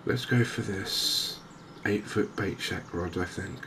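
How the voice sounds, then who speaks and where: A man softly narrating a game in ASMR style, hushed and hesitantly thinking aloud. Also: on the low side, takes it slowly, vocal fry. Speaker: gaming video host. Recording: noticeable background ambience noise. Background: game ambience.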